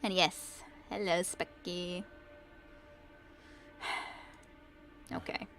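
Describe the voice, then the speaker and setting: Finnish woman streamer, low voice. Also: flat delivery, drawn-out words, a bit bright. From Finland. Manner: chatty, answers chat, laughs often. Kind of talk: casual gameplay commentary.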